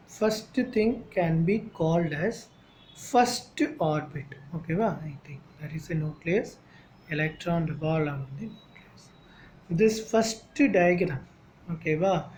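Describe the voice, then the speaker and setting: Tamil-accented woman teaching slowly. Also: on the low side, a little mellow, rough recording. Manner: slow, repeats questions like "Okay, va?" to students. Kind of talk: classroom lecture. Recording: faint background noise.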